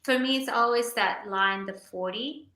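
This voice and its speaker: A woman in her forties, a naturopathic doctor, explaining health topics in a measured way during a casual podcast chat. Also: a little high, sharp tone, reverberant. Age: 40s. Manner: explanatory, measured, occasionally hesitant.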